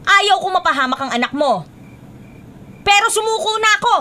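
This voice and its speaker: A woman's voice: very high voice, expressive, brisk, sharp tone, a little hiss or hum, heavily acted. A woman playing a stern, exasperated middle-aged aunt in a Filipino radio drama, scolding with melodramatic emphasis. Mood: weary, complaining.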